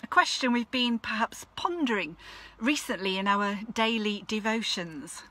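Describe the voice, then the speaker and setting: A woman minister from Leeds, giving a measured, reflective devotional talk. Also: mid-range voice, lively intonation, bright, forceful tone. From Leeds, England. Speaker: Methodist minister. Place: outdoors at a football stadium.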